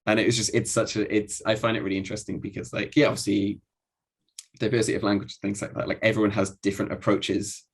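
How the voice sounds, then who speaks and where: A man's voice: fairly low pitch, flat delivery, quick pace, slightly rough. A man in his thirties from Wales, musing with curiosity in a casual discussion. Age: 30s. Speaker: discussion participant.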